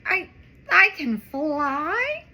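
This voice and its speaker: A woman voicing a boastful male super cat, storybook hero, high bright voice, lively. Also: takes it slowly, full character voice. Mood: amazed. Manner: boastful, excited.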